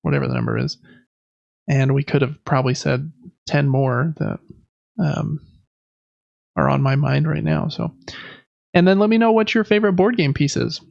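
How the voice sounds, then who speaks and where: Gravelly-edged male podcast host, casual. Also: fairly high pitch, normal speed, pauses often, a little mellow, no echo, highs cut off. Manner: rambling, self-correcting. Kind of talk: casual chat about video and board games.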